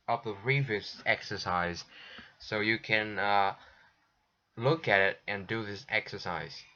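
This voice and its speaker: Slow, patient guy teacher checking answers, bright tense voice, kinda lo-fi recording. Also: fairly low pitch. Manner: patient, slow, restarts often. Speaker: English teacher. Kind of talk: recorded textbook lesson walkthrough. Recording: dull lo-fi sound.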